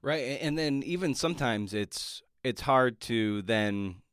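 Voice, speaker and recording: A man with a smooth, clear voice hosts a casual interview, recorded close and dry. He talks haltingly, searching for the right words as he goes. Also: mid-range voice, lively intonation, a bit bright, no room sound. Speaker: podcast host.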